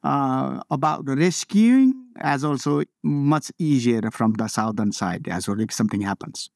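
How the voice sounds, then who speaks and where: A man from Nepal tells his story in a casual interview. His voice sits in a middle pitch, and he stumbles through fillers and restarts. The sound is close and dry, with no room around it. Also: lively intonation, creaky, darkish tone, no echo. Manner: halting, many fillers and restarts. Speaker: interview guest, mountaineer.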